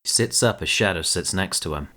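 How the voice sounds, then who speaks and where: A man's voice: deep voice, fairly quick, sharp tone. A man narrating an audio drama in a dramatic, scripted storytelling style.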